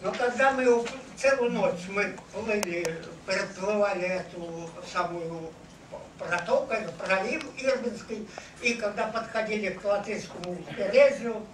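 A man in his eighties or older, a veteran recounting his memories to an audience, haltingly and searching for words. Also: high-pitched. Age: over 80. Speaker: war veteran giving testimony. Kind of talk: unscripted personal recollection to an audience. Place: meeting hall with seated audience. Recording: echoey hall, noisy, distant camera mic.

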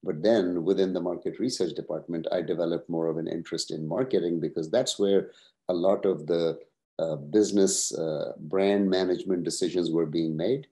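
A man in his sixties, originally from Pakistan, answering interview questions over a video call at a measured pace, with many "uh" pauses. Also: low voice, fairly even intonation, mellow. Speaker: guest, professor and retired executive.

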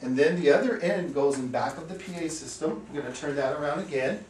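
A man's voice: fairly high pitch, brisk, darkish tone. Methodical man in an echoey room. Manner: plain, methodical step-by-step explaining. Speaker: instructor for an equipment rental company. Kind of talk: hands-on equipment tutorial. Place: small indoor meeting room. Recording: roomy echo, distant mic, background hiss.

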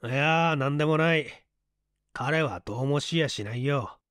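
Male narrator as calm hard-boiled man; cool, unhurried, commanding; dry audiobook sound. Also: on the high side, clean tone, dry recording, natural voice. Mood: casual. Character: calm, unhurried tough man coolly lecturing his beaten foe.

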